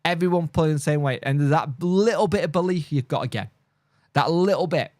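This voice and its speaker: A man's voice: fairly high pitch, brisk, a little mellow, no echo, clean studio sound. A man with a mild speech impediment, tired, hosting a casual live stream and chatting bluntly through viewer comments with lots of fillers and restarts. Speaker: football fan channel host.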